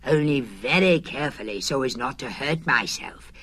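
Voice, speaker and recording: A woman voicing a slow, honey-loving male teddy bear, talking low and dreamy and sometimes sinking to a whisper. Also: expressive, a bit bright, husky, full character voice. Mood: excited.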